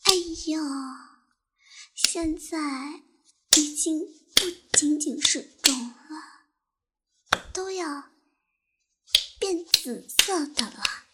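Young woman as a taunting cruel tormentor, high bright voice, drawn-out slow delivery, mocking whispers. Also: heavy background noise. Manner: teasing, mocking, drifts into whispers; laughs softly between taunts. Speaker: voice actor in audio roleplay. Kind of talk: intimate audio roleplay.

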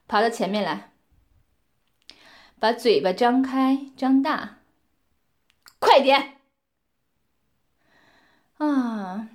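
A woman playing a domineering, scornful mistress who gives commands and taunts, sometimes dropping to breathy whispers. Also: fairly high pitch. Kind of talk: roleplay audio drama.

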